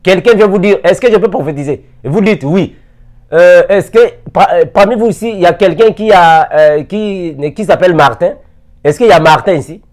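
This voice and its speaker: A man's voice: sits high, moderate pace, darkish tone. A man in his forties teaching on video from an armchair indoors, firing rhetorical questions at his listeners. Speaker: preacher. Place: indoor room, seated in armchair. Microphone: lapel mic.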